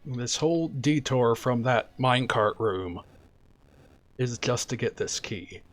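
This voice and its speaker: A man streaming a video game, talking casually and quietly about what he's doing in a relaxed, chatty way. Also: medium pitch, slow. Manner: soft-spoken, relaxed and chatty. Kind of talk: casual gameplay commentary.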